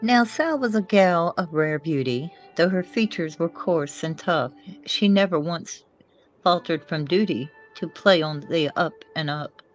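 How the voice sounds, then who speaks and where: A woman playing a young female outlaw who recites her own poem in a measured storytelling cadence. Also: fairly low pitch, soft background music, lightly acted. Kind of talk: verse recitation within a screenplay reading.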